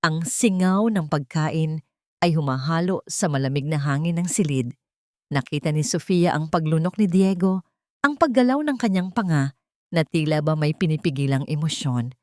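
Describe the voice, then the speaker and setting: A woman narrating a scripted dramatic story in a measured, solemn manner. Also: deep voice, lively intonation, dark tone, no room sound, studio quality.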